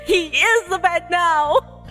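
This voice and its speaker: A playful, giggly, expressive woman streamer chatting and reading a visual novel aloud on a livestream. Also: very high-pitched, slow pace, faint music behind.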